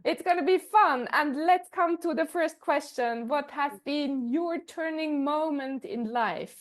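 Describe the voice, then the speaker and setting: A woman hosting a podcast, presenting her guest and questions in a measured, presentational way. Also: high-pitched. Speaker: podcast host. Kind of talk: scripted guest introduction and interview questions.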